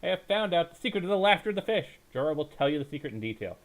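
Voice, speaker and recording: Man as a courteous minister, lively intonation. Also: fairly high pitch, rapid-fire, pitched above the performer's own voice. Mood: confident. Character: wise, courteous traveling royal minister.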